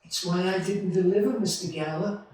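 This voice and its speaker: A man acts out an earnest, frightened boy in a vintage radio play. His voice is very high and urgent. He sounds distant in a hall full of echo. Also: steady tone, normal speed, a little mellow, natural voice. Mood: pleading, scared. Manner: pleading, urgent. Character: earnest frightened young boy drugstore helper. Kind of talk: 1940s radio play acting on stage.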